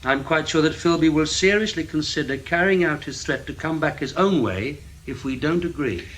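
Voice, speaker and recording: A man acting in a radio drama as a guarded, stubborn intelligence investigator, speaking in a measured, blunt way. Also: on the high side, a bit bright, low-quality recording. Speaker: lead actor and first-person narrator.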